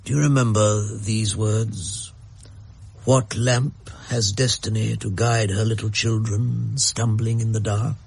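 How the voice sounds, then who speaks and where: A man voicing a gentle, mystical old grandfather in a radio drama, speaking slowly and musingly. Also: fairly low pitch, little pitch movement, gravelly, husky, full character voice. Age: older.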